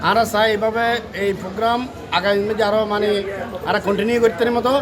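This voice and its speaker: A man speaks in halting, repetitive phrases with a flat, high voice. Crowd noise rises behind him. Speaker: event organizer. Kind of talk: interview statement.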